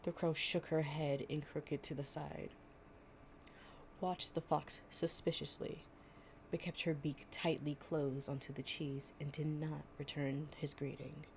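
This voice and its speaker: A woman's voice: sits low, level tone, unhurried, gravelly, a little mellow, a little hiss or hum. A woman performing a storytime audio roleplay, reading fables and chatting informally and playfully. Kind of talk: gentle storytime reading with improvised casual chat.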